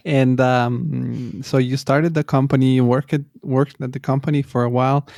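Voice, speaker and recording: Brief: male podcast host, hesitant, dark and dry-sounding. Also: medium pitch, level tone, moderate pace, gravelly, dry recording. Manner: hesitant, many fillers and restarts. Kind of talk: interview questions.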